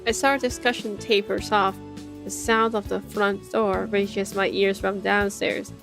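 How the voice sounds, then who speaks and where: A woman with a medium-pitched voice narrates a visual novel for a stream. She slips between reading aloud and casual reactions, with quiet game music underneath. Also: flat delivery, a little slow, gravelly. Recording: game music faintly behind the voice.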